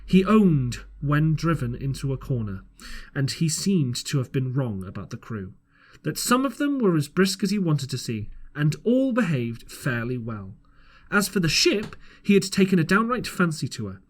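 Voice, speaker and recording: A man's voice: fairly high pitch, very animated, normal speed. A man narrating an audiobook as an amateur reader, earnest, with the odd stumble over the text. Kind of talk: scripted storybook narration.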